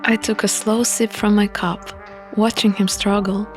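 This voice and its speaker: A woman narrates a visual novel playthrough at a slow, deliberate pace. Her voice sits at a medium pitch, and she moves between reading and commentary. Music plays faintly underneath. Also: flat delivery.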